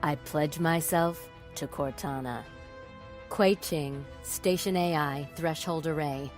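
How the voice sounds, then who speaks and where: Low, slow, formal AI. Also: female voice, faint music behind. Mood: solemn, formal. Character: formal, measured AI pledging allegiance. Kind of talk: video game dialogue.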